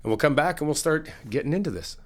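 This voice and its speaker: A middle-aged man in an ASMR friend roleplay, talking in an easygoing, reassuring, chatty way with soft laughs. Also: a little high, animated, fast talker. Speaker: ASMR roleplay creator playing a best friend.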